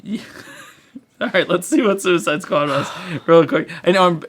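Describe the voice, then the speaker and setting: A man with a higher-than-usual voice co-hosts a casual review podcast. He is animated and foul-mouthed, joking freely and chuckling through his words. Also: measured pace. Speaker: podcast co-host.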